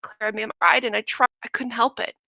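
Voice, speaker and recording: A woman's voice: fairly high pitch, fairly even intonation, fast, vocal fry, sharp tone, no room sound, voice pushed up. A woman voicing a simple-minded, childlike grown man who pleads anxiously in a storybook reading. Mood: miserable. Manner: pleading, childlike, rising to frantic. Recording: dull lo-fi dry recording.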